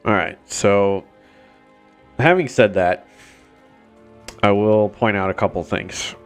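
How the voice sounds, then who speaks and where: Low-voiced sick male streamer, nasal and stuffy, loose hesitant chatter over quiet game music. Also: slow pace, clean tone. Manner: rambling, hesitant, many fillers. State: nasal, recovering from illness. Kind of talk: casual gameplay commentary. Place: home gaming setup. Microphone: desk mic.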